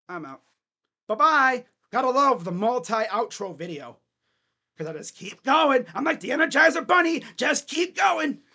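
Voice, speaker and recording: A man hosting his own sports recap channel, talking as an excited fan who trash-talks rivals and whose voice is getting hoarse. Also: high voice. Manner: animated, trash-talking, laughs often.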